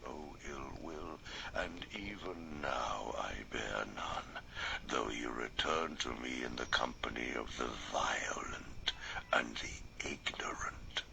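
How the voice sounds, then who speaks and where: A man reading an audiobook, voicing a scheming old male fallen wizard in a soft, persuasive, whispery voice. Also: rumbling low, slow pace, slight background noise, heavily acted, rough recording. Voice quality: whispery, gravelly. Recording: audiobook clip played back.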